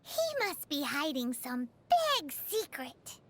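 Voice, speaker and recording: A woman voicing a tiny childlike fairy companion in a video game, squeaky, chirpy, opinionated and self-important. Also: big pitch swings, takes it slowly, pushed and bright, heavily acted. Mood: conspiratorial. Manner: refers to self in third person, earnest, emphatic.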